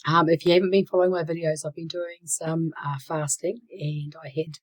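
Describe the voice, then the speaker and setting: A woman in her sixties talking casually to her channel, hoarse and croaky from a cold, with many fillers and restarts, sometimes dropping to a whisper. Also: sits low, level tone, a little fast. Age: 60s. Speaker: channel host. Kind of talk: casual vlog talk.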